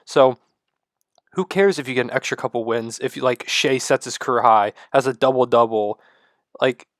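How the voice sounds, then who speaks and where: A man with a stuffy, congested voice and low energy, rambling excitedly to the camera at home. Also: moderate pace, no echo, studio quality. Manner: loose, rambling, excited fan talk with many fillers. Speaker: sports vlogger. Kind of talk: casual rambling talk to camera.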